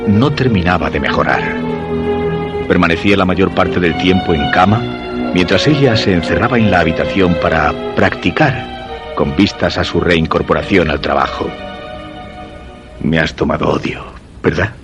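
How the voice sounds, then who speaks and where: An actor with a Castilian Spanish accent playing a weak, fearful husband who narrates a suspense radio drama in a measured, solemn way. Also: male voice, sits low. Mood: weak, distressed. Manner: measured, literary, solemn storytelling. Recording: voice over background music.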